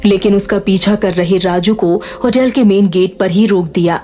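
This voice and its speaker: A woman's voice: medium pitch, fairly even intonation, normal speed, mellow, faint music behind. A woman reading scripted narration for a drama story.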